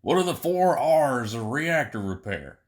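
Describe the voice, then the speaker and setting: A man voicing a gruff, stubborn veteran alien pilot who coaches in short blunt questions in an audiobook story. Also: medium pitch, big pitch swings, a little slow, voice pushed up. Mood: quizzing. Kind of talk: audiobook character voice.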